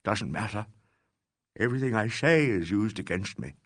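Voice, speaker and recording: A man reading an audiobook voices a pompous, hysterical disgraced financier who rants in a shrill, trembling voice. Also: expressive, moderate pace, no echo, clean studio sound. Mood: sullen. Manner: shrill, indignant, trembling, ranting.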